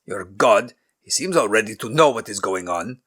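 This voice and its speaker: A man voicing an angry ancient king who speaks in a forceful, accusing way. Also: on the low side, animated, moderate pace. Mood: stern.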